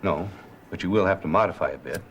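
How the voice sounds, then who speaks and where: A very low-voiced male doctor played by an actor in a scripted dramatization. He explains in a calm, measured, formal manner, with faint background noise behind him. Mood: calm, instructive. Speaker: actor playing a physician.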